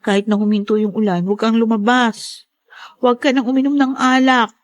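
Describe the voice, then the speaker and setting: A woman's voice: fairly high pitch, little pitch movement, fairly quick, natural voice. A woman playing a worried, long-suffering young wife and mother in a melodramatic radio drama. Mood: worried, pleading. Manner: earnest, melodramatic. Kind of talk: Tagalog radio drama acting.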